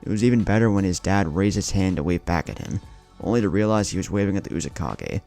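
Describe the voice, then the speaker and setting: A man's voice: sits low, animated, quick pace, vocal fry, dark tone, soft background music. A man reading a dramatic scripted story as its narrator.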